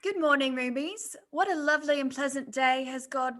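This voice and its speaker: A woman's voice: high-pitched, moderate pace, fairly bright tone. A woman voicing a sweet, prim and pious girl, creepily cheerful, in a comic fan fiction reading.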